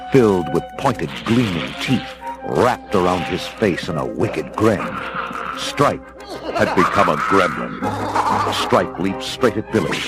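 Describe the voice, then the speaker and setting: Deep man narrating a storybook record, dramatic, music playing behind him. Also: low-quality recording.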